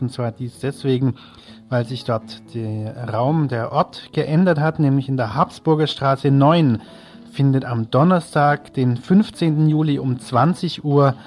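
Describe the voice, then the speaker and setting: A man's voice: mid-range voice, darkish tone. A man presenting on local radio, reading out event announcements from a prepared text.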